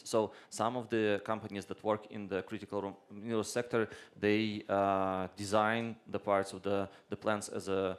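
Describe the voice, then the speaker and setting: Hesitant low-voiced official man. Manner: hesitant, many restarts and fillers. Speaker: government deputy minister on a panel. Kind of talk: panel answers.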